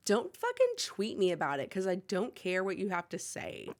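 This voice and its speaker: Woman, game master, nasal with a cold, casual, swears, mid-pitched. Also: wildly swooping pitch, moderate pace. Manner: casual, swears often, laughs a lot. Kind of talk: casual chat opening a tabletop game livestream.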